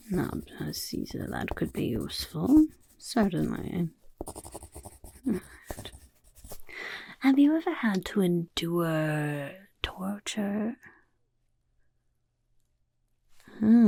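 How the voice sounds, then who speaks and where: Creaky, soft-spoken goblin boss. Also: female voice, fairly low pitch, a little hiss or hum, heavily acted. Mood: curious, musing. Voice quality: raspy, creaky, half-whispered. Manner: speaks of self in third person, muttering, chaotic. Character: scheming, self-important goblin boss interviewing horde recruits. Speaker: interviewer. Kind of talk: soft-spoken ASMR roleplay.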